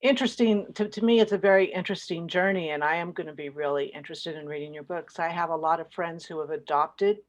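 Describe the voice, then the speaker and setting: A woman's voice: on the low side, fast talker, few pauses. A woman with an American accent hosting an author interview, reflective and winding her way into questions. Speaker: podcast host interviewer.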